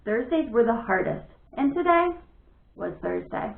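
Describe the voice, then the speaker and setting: A woman reads to children in a teacherly, deliberate way. Her voice is fairly high and very lively, with some creak. The recording is thin, from an echoey room. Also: narrow, tinny audio. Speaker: teacher reading aloud to pupils. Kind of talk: children's storybook read-aloud.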